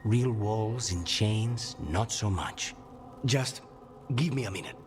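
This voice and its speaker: An actor playing a weary, guarded assassin in a fantasy game, stoic and understated. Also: male voice, fairly low pitch, slow, soft background music.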